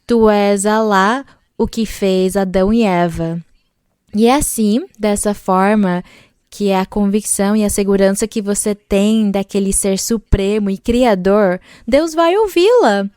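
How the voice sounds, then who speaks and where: A woman's voice: medium pitch, darkish tone, smooth, dry recording, studio quality. A woman church leader giving a patient, step-by-step sermon-style answer to a question. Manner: slow, patient, explaining step by step. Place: large arena.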